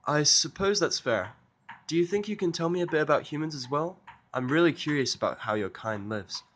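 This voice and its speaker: A man's voice: a little high, lively intonation, brisk, fairly bright tone, slight background noise, pitched above the performer's own voice. A man voicing an anxious, bookish young female unicorn with a fretful, apologetic manner in a fan fiction audio drama. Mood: curious, polite.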